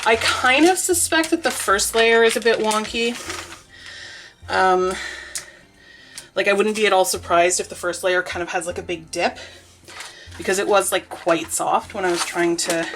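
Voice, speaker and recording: A woman's voice: mid-range voice, fairly even intonation, normal speed, pauses often, a bit bright, a little hiss or hum. A young woman making soap and chatting through it, with a stuffy, sniffly nose, laughing often and rambling with lots of fillers. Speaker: soap maker. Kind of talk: casual vlog narration while working.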